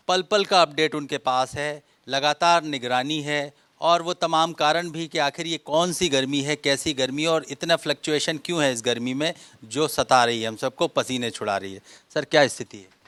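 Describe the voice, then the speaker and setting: A man's voice: medium pitch, fairly bright tone, no echo. A man reporting and interviewing for television news in a conversational way.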